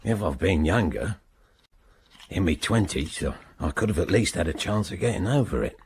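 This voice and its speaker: An actor playing a weary, bitter man of seventy in a radio drama, gravelly and husky, reflecting haltingly on his past. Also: sits low, expressive, background noise. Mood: bitter grief. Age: 70s. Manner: slow, halting, reflective monologue.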